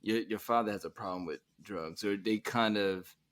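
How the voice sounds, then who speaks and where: A man with a somewhat low voice hosts a podcast conversation. He is easygoing and asks long questions that wander before landing. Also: moderate pace, a bit bright. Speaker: podcast host. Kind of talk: casual interview.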